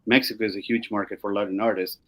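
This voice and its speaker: Low-voiced man, halting delivery. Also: level tone. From Chile. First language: Spanish. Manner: halting, frequent "you know". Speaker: music curator. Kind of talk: casual panel discussion.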